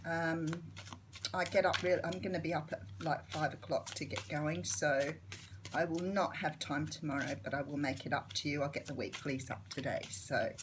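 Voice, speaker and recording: Australian woman, middle-aged, low-ish voice, a little croaky, chatty tarot host; faint hiss behind. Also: normal speed. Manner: chatty, friendly, filler-heavy. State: slightly croaky morning voice. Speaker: tarot reader. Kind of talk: casual tarot reading to camera.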